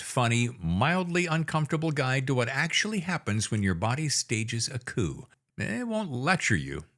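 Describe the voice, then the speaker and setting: A man's voice: medium pitch, a bit bright. A middle-aged man reading a scripted, humorous promotion for his own book in a wry, self-deprecating way.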